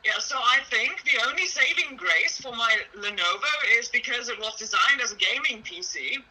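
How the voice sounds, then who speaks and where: Young woman, deep voice, bright forceful tone, halting chat with fillers. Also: animated, rough recording. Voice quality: relatively deep voice. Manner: hesitant, many fillers and restarts. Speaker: project creator. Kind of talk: casual chat.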